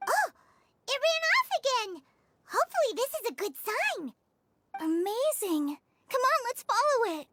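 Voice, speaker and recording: Very high, squeaky little fairy companion. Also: female voice, very animated, a little slow, sharp tone, heavily acted. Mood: chatty. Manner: speaks of self in third person, exclaiming. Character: small excitable floating fairy companion girl. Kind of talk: video game voice acting. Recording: music behind the voice.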